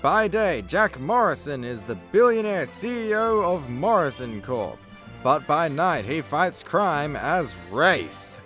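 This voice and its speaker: A man's voice: on the high side, a bit bright, exaggerated voice. A man narrating a scripted superhero comedy radio play with a dramatic announcer's delivery.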